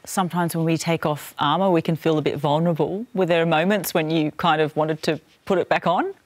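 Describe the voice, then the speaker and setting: Female studio host, low-pitched, calm and probing, dry close mic. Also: a little fast, no echo. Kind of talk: television interview. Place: television studio.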